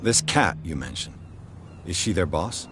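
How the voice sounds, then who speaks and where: A man voicing a calm, inquisitive private detective in a video game, asking dry questions. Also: low-pitched, measured pace, slight background noise, exaggerated voice.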